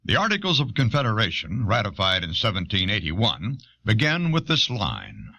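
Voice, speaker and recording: Male narrator for educational history audio; bright, highly inflected, measured delivery, dull cassette sound. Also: wildly swooping pitch, creaky.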